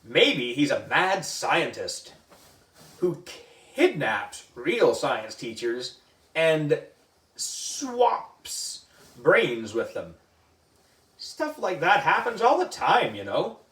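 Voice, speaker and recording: A man's voice: a little high, huge pitch swings, extremely slow, a bit bright, heavily acted. Man playing cocky dramatic schoolboy, echoey room. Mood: conspiratorial, teasing. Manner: dramatic, teasing, emphatic. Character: schoolboy spinning wild theories, cocky and dramatic. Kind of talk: storybook character acting. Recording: roomy echo, distant microphone.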